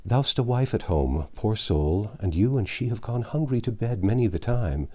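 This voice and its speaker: A man's voice: fairly low pitch, expressive, normal speed, a little mellow. A man reading an audiobook as a grieving, starving peasant mother, telling of hardship in impassioned, archaic speech. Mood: pitying, bitter. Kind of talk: dramatic audiobook character reading.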